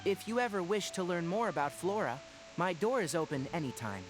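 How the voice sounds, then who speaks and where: An actor playing a young male forest ranger scholar in a video game, articulate and bookish with a gently teasing, caring manner. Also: high-pitched, lively intonation, fairly quick, faint music behind, poor audio. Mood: earnest. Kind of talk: video game voice acting, tutoring dialogue.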